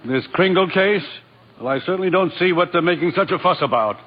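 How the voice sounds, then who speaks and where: Fussy older male judge, slightly high voice, muffled vintage radio drama sound. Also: fairly quick. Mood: troubled. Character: flustered, dignified old judge and grandfather. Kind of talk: old-time radio drama acting. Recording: muffled old radio broadcast, hiss.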